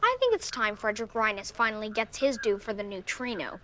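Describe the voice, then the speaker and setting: High-pitched, precise young boy. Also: sing-song, fairly quick, pushed and bright, slight background noise, heavily acted. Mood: earnest. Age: child. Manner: precise, formal, insistent. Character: earnest, precocious young science-obsessed boy. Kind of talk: sitcom acting.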